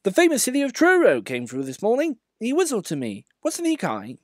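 Man voicing a kind old engine, high and soothing, dry sound. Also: huge pitch swings, brisk, no room sound, exaggerated voice. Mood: gentle. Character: kind cheerful older male tank engine.

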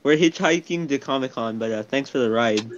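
A man voicing an excitable, blunt, goofy young ninja in a comedic cartoon fandub. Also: medium pitch, a little fast, lo-fi sound, a little hiss or hum, close to an everyday voice. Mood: casual.

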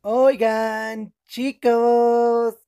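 A woman's voice: fairly high pitch, little pitch movement, extremely slow, warm tone. A woman voicing a small, cutesy, lecherous cat-like creature in a game fan dub, coaxing and repeating a nonsense catchword. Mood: coaxing, excited.

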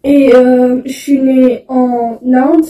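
A teenage girl, crying and halting as she tells her story to a phone camera indoors. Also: high-pitched, flat delivery, dark tone, roomy sound, far from the mic. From Belgium. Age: teens. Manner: tearful, halting, upset. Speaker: student. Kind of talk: selfie video testimony. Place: indoors, small room. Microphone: phone held close, front camera.

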